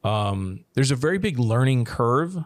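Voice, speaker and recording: A man's voice: medium pitch, very animated, measured pace, no room sound. A man talking casually on a podcast about running his production company, rambling with frequent restarts. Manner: rambling, many restarts and "you know". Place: living abroad, working from Thailand.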